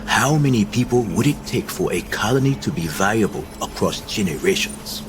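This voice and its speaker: An actor playing a cynical, world-weary visionary leader in a science fiction audio drama, theatrical and dismissive. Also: male voice, lowish voice, lively intonation, normal speed, background noise, close to an everyday voice. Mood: brisk, commanding.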